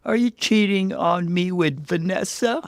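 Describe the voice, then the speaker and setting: A wounded, suspicious young fiancée from a narrated relationship story, acted out by a man. The pitch is lifted above a plain reading voice and the questions are pressed and pleading. The sound is dry and close. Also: a little slow, dry recording. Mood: accusing, hurt.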